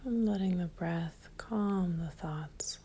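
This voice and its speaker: A woman leading a guided meditation in a soft, breathy near-whisper, calm and gentle, leaving long pauses. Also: fairly low pitch, slight background noise.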